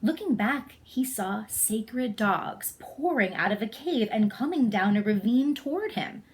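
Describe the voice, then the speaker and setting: A woman's voice: on the high side, expressive, unhurried. Woman reading a storybook aloud. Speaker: storybook reader. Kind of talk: read-aloud story narration.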